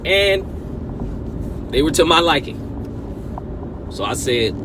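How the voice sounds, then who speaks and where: Male car vlogger, slow delivery, mid-pitched, conversational with punchy emphasis, recorded in a vehicle with very loud driving noise. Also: sing-song. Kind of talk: casual storytelling to camera. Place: inside a car while driving. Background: car and road noise.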